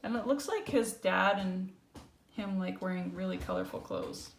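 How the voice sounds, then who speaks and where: Woman reading aloud, creaky voice, roomy echo. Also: mid-range voice, normal speed. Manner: explanatory, checks in with tag questions. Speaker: storyteller reading a picture book to a child. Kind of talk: read-aloud storytelling with casual asides to a child. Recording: roomy echo, faint background noise.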